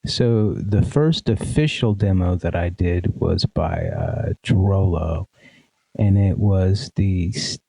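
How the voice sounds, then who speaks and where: Laid-back guy, sleepy nasal voice, dark mellow tone, dry close sound, lots of ums. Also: low-pitched, gravelly, breathy edge, no room sound, rough recording. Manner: relaxed, many fillers and restarts. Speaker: guest, pedal demo creator. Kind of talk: casual interview.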